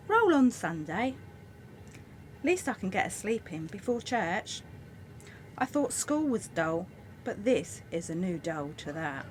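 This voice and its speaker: A woman's voice: medium pitch, a little hiss or hum. Woman playing a grumbling, swooping-voiced teenage factory girl. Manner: complaining, resigned. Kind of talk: dramatic diary monologue in a radio play.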